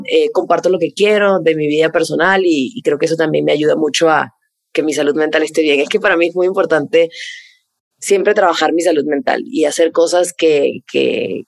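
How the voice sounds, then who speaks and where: A young woman from Venezuela whose accent has picked up Mexican features, answering interview questions in a fast, animated way and repeating words for emphasis. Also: low-pitched, vocal fry, dry recording. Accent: Venezuelan with Mexican influence. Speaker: content creator, guest.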